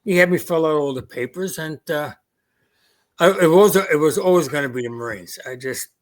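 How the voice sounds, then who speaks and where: A man's voice: a little high, a little fast. A man in his eighties with a Queens New York accent, German-born with German as his first language, reminiscing in a casual interview and quoting his family's words. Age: 80s. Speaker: veteran guest.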